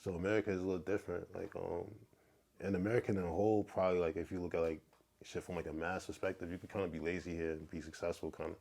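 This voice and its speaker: Low husky dude rambling on a podcast, slangy and loose. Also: male voice, level tone, racing, darkish tone. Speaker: podcast guest, rapper. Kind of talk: casual conversation.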